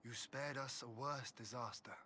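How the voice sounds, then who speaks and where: An actor playing a proud, solemn young lord in a video game, speaking in formal, archaic phrases. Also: male voice, mid-range voice, fairly even intonation, normal speed, bright, forceful tone, a little hiss or hum, natural voice. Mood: sorrowful, grim. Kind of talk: video game cutscene acting.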